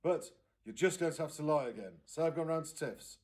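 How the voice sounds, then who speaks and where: A man reading a storybook as a bossy, impatient teenage sister, brisk and commanding. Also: on the high side, expressive, a little mellow, voice pushed up, lightly acted. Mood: exasperated.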